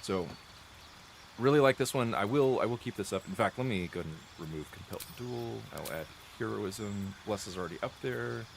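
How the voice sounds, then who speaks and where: A man's voice: on the low side, animated, a little fast, gravelly, slight background noise. Coughing man with a dry throat. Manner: chatty, rambling, restarts and self-corrections, thinks aloud. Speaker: gameplay streamer. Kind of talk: explaining game mechanics while playing. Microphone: close desk mic.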